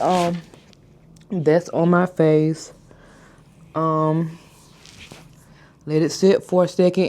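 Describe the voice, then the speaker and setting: A woman's voice: low-pitched, a little mellow. Woman in her thirties with a stutter. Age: 30s. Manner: stutters and mispronounces words, talks slowly on purpose. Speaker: vlogger. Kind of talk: casual skincare vlog chat. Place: hotel room, indoors.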